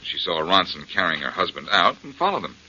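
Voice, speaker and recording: Crafty detective, low voice, swooping intonation, 1940s radio drama, cool and sly. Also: male voice, sing-song, brisk, bright, forceful tone, husky, full character voice. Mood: easygoing. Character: cool, crafty male amateur detective baiting a suspect. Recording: dull, noisy vintage radio recording.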